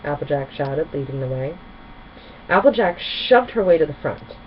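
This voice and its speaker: A woman's voice: deep voice, lively intonation, moderate pace. A woman storyteller narrating a children's book expressively. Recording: dull recording with faint noise.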